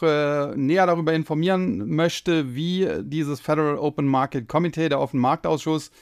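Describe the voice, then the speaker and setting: A man from Germany hosting a solo finance podcast, explaining at length with many hesitations, his voice slightly hoarse from a cold. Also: on the high side. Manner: explanatory, frequent filler hesitations. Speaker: podcast host.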